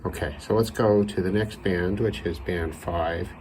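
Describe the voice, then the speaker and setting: A man giving an unscripted hands-on gadget demonstration, thinking aloud in halting short phrases. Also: deep voice, fairly even intonation. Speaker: radio hobbyist demonstrating receivers. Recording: loud radio static and hiss. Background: shortwave radio noise and tuning static.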